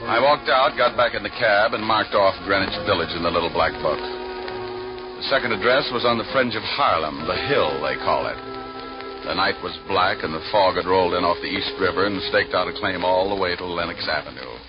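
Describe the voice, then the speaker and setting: An actor playing a wry, deadpan hard-boiled private detective, narrating in 1940s radio noir style. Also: male voice, low voice, moderate pace, natural voice. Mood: wry, tense. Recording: muffled old radio recording with music.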